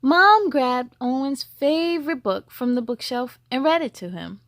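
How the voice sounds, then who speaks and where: A woman hosts a children's read-aloud in a very high, lively voice. She takes her time and addresses young listeners directly. The sound is close and dry. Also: measured pace, no echo. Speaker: story-time host.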